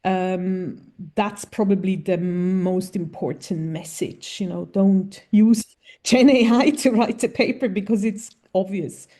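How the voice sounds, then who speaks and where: Frank woman editor in an interview, relaxed dark timbre. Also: mid-range voice, slow pace. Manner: frank, plain-spoken. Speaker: journal editor. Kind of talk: conversational interview answers.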